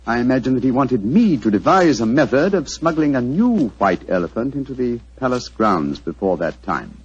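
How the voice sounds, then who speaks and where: A self-assured British gentleman detective in an old radio drama. His delivery swings widely in pitch as he lays out his reasoning with theatrical precision, the voice a little husky. The 1940s recording is muffled and dull. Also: sing-song, normal speed. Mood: confident explaining.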